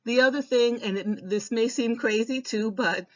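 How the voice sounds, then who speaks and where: A woman's voice: a little high, moderate pace. A woman giving an informal instructional presentation in a conversational way, repeating advice for emphasis. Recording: dull, lo-fi voice recording.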